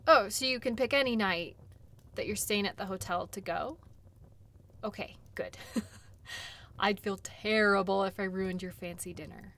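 A woman playing a caring, chatty dog-sled guide in an audio role play, gentle and reassuring, with conversational pauses. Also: mid-range voice, close to an everyday voice. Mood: sympathetic.

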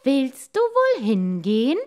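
A woman's voice: high voice, huge pitch swings, slow, a little mellow, pitched above the performer's own voice, exaggerated voice. A woman voicing a greedy, domineering fisherman's wife turned empress, commanding and impatient, in a storybook reading. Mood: imperious, demanding.

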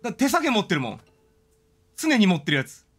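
A man's voice: sits high, fast talker, breathy edge, faint music behind. A man doing casual game commentary as a streamer, exclaiming and talking to himself as he plays. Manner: animated, exclamatory, talks to himself.

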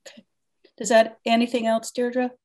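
An older woman chairing a town council public hearing over a video call from her home, brisk and procedural, laying out her answers point by point. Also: fairly high pitch, completely flat delivery, darkish tone. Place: home dining room indoors. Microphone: laptop webcam on a video call.

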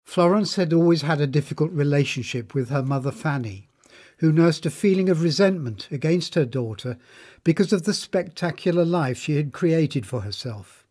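A man reading a scripted biographical narration in a measured, formal manner. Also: a little high, animated, darkish tone.

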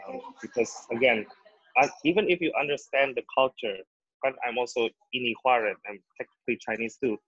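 A man's voice: medium pitch, brisk, a bit bright, husky, no room sound, highs cut off. A young man from Indonesia, a business owner on a webinar panel, answering thoughtfully with frequent ums. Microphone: video call.

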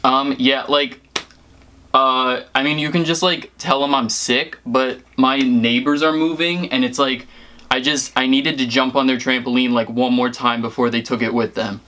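A man's voice: mid-range voice, steady tone, normal speed, bright, forceful tone. A young American man chatting casually and hesitantly to camera and on the phone, peppering his talk with "like". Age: young adult. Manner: hesitant, rambling, heavy use of "like". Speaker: prank-call vlogger.

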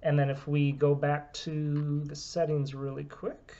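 A man's voice: fairly high pitch, level tone, a little slow, mellow. A man in his thirties from the US, steadily explaining a phone unlock demo in a small room at home. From United States. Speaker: phone repair business owner. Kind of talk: product demonstration. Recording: dull, lo-fi camera audio.

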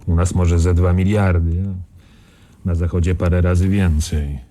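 An actor in a radio crime drama playing a world-weary, sarcastic journalist who knows the drug trade. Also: male voice, deep voice, little pitch movement, measured pace, warm tone. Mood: sardonic. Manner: dry, sarcastic.

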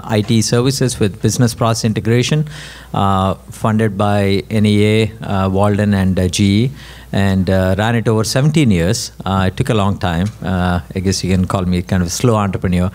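Low-voiced man introducing himself. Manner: steady, many uhs. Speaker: panelist, entrepreneur. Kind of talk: conference panel talk. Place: conference stage panel. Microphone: stand mic.

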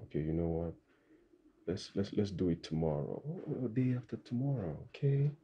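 A man's voice: sits low, big pitch swings, moderate pace, highs cut off, a little hiss or hum. An actor playing an evasive, defensive young man who keeps brushing off his partner's questions. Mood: reluctant. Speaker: boyfriend under pressure.

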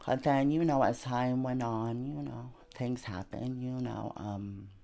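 A woman's voice: very deep voice, a little slow, creaky, warm tone. Chatty woman in her twenties. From Argentina. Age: 20s. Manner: chatty, many fillers like "you know" and "like". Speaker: interview guest. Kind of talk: candid casual interview. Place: small bedroom apartment. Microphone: handheld mic held by interviewer.

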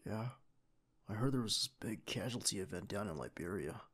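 A young man playing a devoted secret agent boyfriend in an audio roleplay, with a husky, gravelly voice and an earnest manner. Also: lowish voice, animated, fairly quick, fairly bright tone. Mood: gentle, comforting. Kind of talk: ASMR boyfriend roleplay acting.

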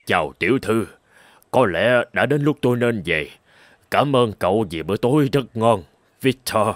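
A man playing a humble, dignified older rich gentleman in a dubbed cartoon, speaking in a measured, gracious way. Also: on the low side, animated, no echo, natural voice. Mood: touched. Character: humble, dignified older billionaire posing as a beggar.

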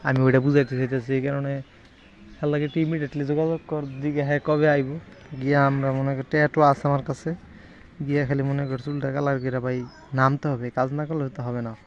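A man's voice: mid-range voice, flat delivery, fast, dull audio, a little hiss or hum. A man with a Bangladeshi accent chatting in a coarse, colloquial, insistent manner. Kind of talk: casual conversation.

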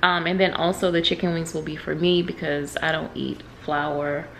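Woman, 40s, home cook-along vlogger, low gravelly voice, relaxed step-by-step chat, noisy kitchen audio. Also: normal speed. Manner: chatty, step-by-step, laughs now and then. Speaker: cooking vlogger. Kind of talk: casual cook-along narration. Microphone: camera mic, close to mid distance.

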